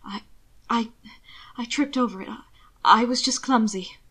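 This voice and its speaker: A woman reading the part of a frightened, hurt young man who speaks in a hushed, halting voice. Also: fairly high pitch. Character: frightened injured young man, cold and guarded.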